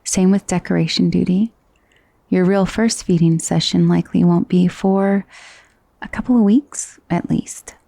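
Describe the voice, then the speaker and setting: Casting: actress for gentle vampire lady in ASMR roleplay; creaky, soft-spoken, soothing, genteel, very close and dry sound. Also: fairly low pitch, fairly even intonation, a little slow, dry recording. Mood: warm, welcoming. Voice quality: soft, breathy, often dropping to a whisper. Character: gentle, coaxing female vampire mistress of a mansion.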